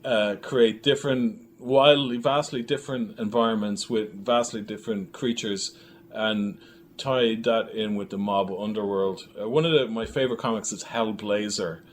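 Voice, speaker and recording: A man with a lowish voice talks casually as an interview guest about his creative work. He thinks aloud, halting and repeating words as he goes. There is some ambient noise behind him. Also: fairly even intonation. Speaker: filmmaker guest being interviewed. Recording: clearly noisy room recording.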